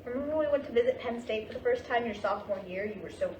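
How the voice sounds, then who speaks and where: A woman's voice: a little high, racing, lightly acted. A woman playing a doting middle-aged mother in a staged play reading, insistent and motherly as she nags and reassures. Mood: consoling. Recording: echoey room, distant mic, clear hiss.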